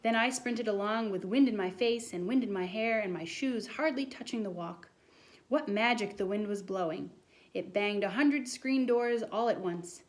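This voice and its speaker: A woman voicing an earnest, excitable schoolboy who tells a tall storybook tale with dramatic insistence. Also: mid-range voice, normal speed, natural voice. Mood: wondrous.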